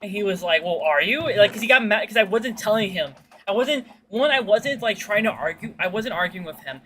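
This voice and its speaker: A woman streamer with a bright, forward voice tells stories in a loose, rambling chat. She talks in slang with some swearing and acts out quotes, over faint background noise. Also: a little fast. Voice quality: fairly deep-sounding for a woman. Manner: slangy, sweary, animated retelling with acted-out quotes. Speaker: streamer chatting with viewers while gaming. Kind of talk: casual chat, rambling storytelling.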